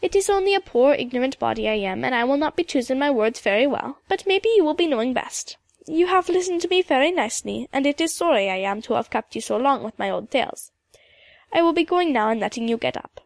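A woman's voice: sits high, lively intonation, fast, no echo. A woman voicing a composed, proud, sorrowful elderly woman in an audiobook, with lilting old-country phrasing and a habit of tagging on "oh yes". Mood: dignified, gratified. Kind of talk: audiobook character dialogue.

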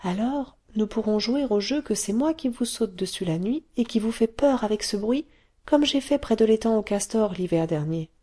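Eager little cave girl, chatty and pleading, mellow tone, woman putting her on. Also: fairly high pitch, expressive, moderate pace, slightly rough, voice pushed up. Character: excited cave girl, bossy and curious.

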